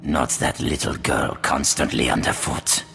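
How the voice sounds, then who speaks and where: An actor playing a frail old man just revived from a long sleep in a video game, halting and weary. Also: rumbling low, steady tone, vocal fry, bright, forceful tone, heavily acted. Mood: weak, tender. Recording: long reverberant hall, faint music.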